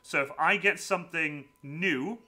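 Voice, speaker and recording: A man hosting a live stream from a room at home, chatting casually with a guest and reading out viewer messages. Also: a little high. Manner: lively, chatty, quick asides to viewers. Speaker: streamer host.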